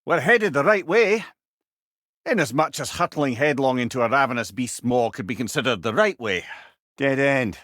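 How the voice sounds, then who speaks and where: A man's voice: medium pitch, animated, normal speed, fairly bright tone, dry recording, studio quality, natural voice. A man with a Scottish accent playing a witty, learned older companion, a talking head in a video game, wry and eloquent with old-fashioned wording. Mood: watchful, musing. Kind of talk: video game character dialogue.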